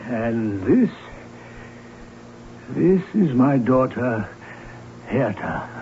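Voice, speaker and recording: A man's voice: medium pitch, big pitch swings, very slow, full character voice. An actor playing a hearty, hospitable middle-aged baron and father, effusive and courtly, in an old radio drama. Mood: hearty, welcoming. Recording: dull, hissy vintage radio recording.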